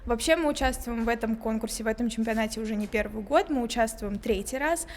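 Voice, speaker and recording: Fast-talking woman in a reverberant noisy hall. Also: on the high side. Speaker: competition participant. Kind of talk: spontaneous interview answer.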